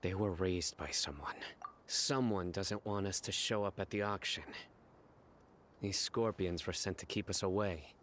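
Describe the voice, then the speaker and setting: Video game investigator: young, vigilant, stern, low-pitched, deliberate delivery, faint background noise. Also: male voice, a bit bright. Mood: serious, suspicious. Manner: serious, measured, deliberate.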